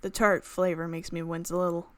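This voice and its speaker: A woman doing expressive let's play commentary, mixing dramatic reading of a visual novel with casual, reactive asides. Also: fairly low pitch, a little fast, dry recording. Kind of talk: game commentary mixed with dramatic read-aloud. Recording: music playing behind the voice.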